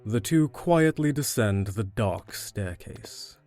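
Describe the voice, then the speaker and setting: A man's voice: on the low side, lively intonation, slow pace, darkish tone, soft background music. A man reading scripted narration for an audio drama.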